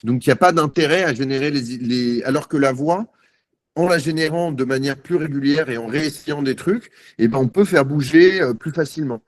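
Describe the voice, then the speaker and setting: A man giving a live software demo on a video call, chatty and self-mocking, thinking aloud with many fillers. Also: a little high, fairly quick, dry recording. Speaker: guest presenter.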